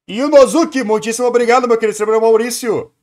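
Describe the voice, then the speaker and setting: A man's voice: very high voice, steady tone, quick pace, darkish tone. A Brazilian man giving casual, opinionated live reaction commentary as a streamer, thinking aloud conversationally.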